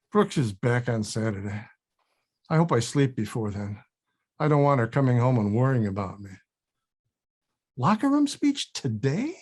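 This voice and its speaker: A man's voice: medium pitch, big pitch swings, unhurried, long pauses, darkish tone, slight background noise. A man playing a sleepless older actor, wry and friendly, in a casual conversational table read. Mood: weary. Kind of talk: casual table read of a comedy-drama script.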